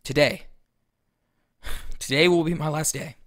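An actor playing a weary, sardonic teenage schoolboy in an audio drama, speaking in a dry, reluctant way. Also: male voice, a little high, steady tone, a little slow, fairly bright tone. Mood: exasperated.

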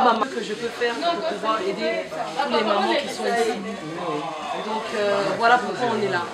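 A middle-aged woman from Congo with a somewhat high voice talks to the mothers and workers on a ward, thanking them warmly as she switches between languages. She is picked up from a distance by a camera mic. The room echoes and a loud crowd chatters around her. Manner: warm thanking, mixing French and Lingala. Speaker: foundation head visiting a maternity ward. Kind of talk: spontaneous address to staff and mothers. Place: crowded hospital ward, indoors.

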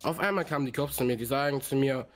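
A man with a hoarse, mid-pitched voice pleads and argues his innocence in a game roleplay. His delivery is defensive and insistent. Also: quick pace, a little hiss or hum. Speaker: roleplay player.

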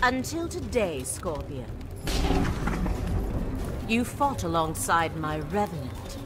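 A loyal, composed warrior woman from a fighting game. Her voice sits low and moves expressively through formal, deliberate phrases. Music plays clearly behind her. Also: very animated. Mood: stern, solemn. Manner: formal, measured, deliberate delivery. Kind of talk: video game dramatic acting.

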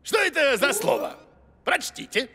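A man's voice: very high-pitched, wildly swooping pitch, a little slow, sharp tone, husky, exaggerated voice. A man voicing a boastful, cowardly bearded creature who plays teacher in a children's cartoon, pompous and theatrical.

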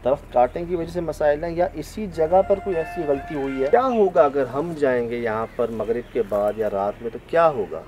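A man's voice: on the high side, moderate pace, few pauses, dull audio, over music. A man hosting the show and interviewing locals outdoors, asking probing questions and affirming their answers. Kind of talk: investigative interview. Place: outdoors in a rural area. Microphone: field recording by a camera crew.

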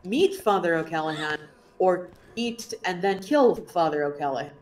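A woman's voice: lowish voice, lively intonation, normal speed, darkish tone, low-quality recording. A woman chatting casually while playing a co-op game, wry and teasing. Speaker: gamer.

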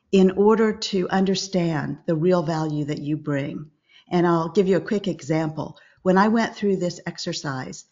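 Older female business coach, low calm voice, measured and instructive, slightly dull video-call sound. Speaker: podcast guest, business coach. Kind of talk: interview over video call.

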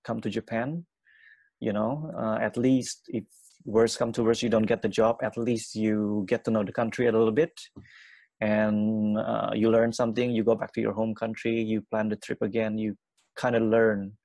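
A young man from Indonesia, an English teacher, answering interview questions quickly with many fillers and restarts. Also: fairly low pitch, level tone, mellow, dry recording. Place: small room at home.

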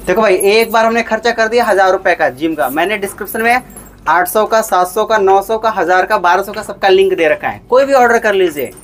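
A man's voice: high voice, brisk, a bit bright. A man hosting a casual unboxing vlog, chatting with viewers, calling them brother and repeating his points for emphasis. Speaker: vlogger.

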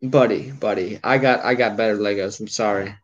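A man's voice: fairly low pitch, a little fast, highs cut off. A young man hosting a casual livestream at a table indoors, bantering in slangy, joking talk. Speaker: livestream host. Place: living room at a table, indoors. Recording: clicky background noise.

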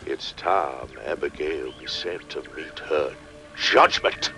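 An actor playing a commanding cult pastor in a video game, solemn and sinister, with deliberate preacherly delivery. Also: male voice, rumbling low, music in the background. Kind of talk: video game cutscene acting.

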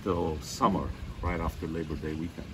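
A Lebanese-born winemaker in his sixties with a very low voice, talking casually to a group of visitors. He rambles through asides and jokes about himself. The recording is an outdoor phone clip from a few meters off, with clear background noise. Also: fairly even intonation, normal speed. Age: 60s. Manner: plain-spoken, self-mocking, rambling with asides. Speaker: winemaker hosting a tasting. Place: outdoor patio of a hillside winery. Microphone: phone camera a few meters away.

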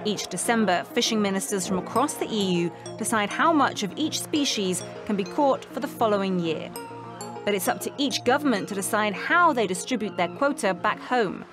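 A woman's voice: mid-range voice, lively intonation, normal speed. A woman reporter reading scripted narration for a news documentary. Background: background music.

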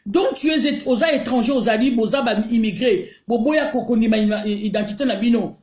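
A woman's voice: fairly high pitch, brisk, darkish tone. A middle-aged Congolese woman with a Congolese accent, ranting indignantly into her phone in a heated video. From Congo (DRC). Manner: indignant, emphatic, mixes French and Lingala. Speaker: online commentator. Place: small room indoors. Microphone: phone held close to the face. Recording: echoey room.

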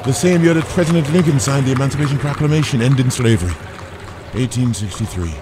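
A man's voice: mid-range voice, fast talker, background noise, close to an everyday voice. An actor playing a kindly, proud older parish priest in an audio drama, with a folksy, hesitating, fatherly way of talking. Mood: fond, proud.

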